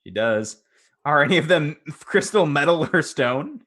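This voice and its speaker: A man's voice: fairly high pitch, animated, unhurried, fairly bright tone. A man playing a tabletop game, talking casually with hesitations and laughs. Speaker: player. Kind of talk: casual tabletop play chat.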